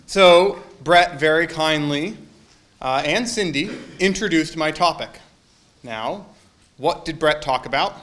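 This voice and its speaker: A man's voice: on the high side, takes it slowly, fairly bright tone. A man giving a casual sermon to a congregation, joking and quizzing his listeners. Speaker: minister.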